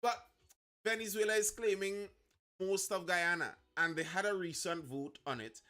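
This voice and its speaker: A man's voice: sits high, moderate pace, bright, forceful tone. A man with a Trinidadian accent hosting a live news discussion, talking conversationally and often rephrasing his points. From Trinidad and Tobago.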